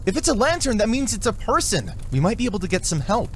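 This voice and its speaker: An actor playing an eager, stubborn young soldier in a fantasy audio drama. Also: male voice, high voice, very animated, fast, a bit bright, slight background noise, heavily acted. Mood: excited, insistent.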